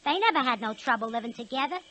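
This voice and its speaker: Casting a slangy, plaintive young female locomotive, high sharp voice, 1940s radio drama. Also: animated, fast talker. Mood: wistful, slangy. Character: sweet, complaining young female locomotive, working-class girlfriend.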